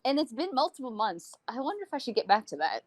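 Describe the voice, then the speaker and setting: A woman's voice: fairly high pitch, big pitch swings, brisk. A woman in casual podcast chat, joking along in a self-deprecating way. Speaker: podcast speaker.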